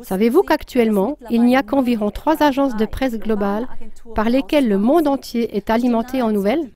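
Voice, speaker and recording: A woman's voice: fairly high pitch, a little fast, dark tone. A woman reading a scripted news commentary as a voice-over, in an earnest, emphatic tone.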